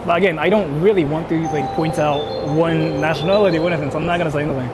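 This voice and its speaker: Higher-pitched man streaming over street noise. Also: fairly even intonation, rapid-fire. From Japan. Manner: rambling, repetitive, filler-heavy with frequent "like". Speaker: live streamer. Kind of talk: casual livestream chat with viewers. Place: out and about in public while livestreaming. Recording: noisy outdoor urban and traffic ambience.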